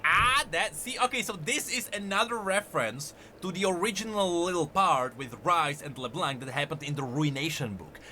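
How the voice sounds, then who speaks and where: A man's voice: a little high, lively intonation, moderate pace, hardly any pauses, pushed and bright, a little hiss or hum. A man giving enthusiastic, emphatic off-the-cuff reaction commentary on game lore.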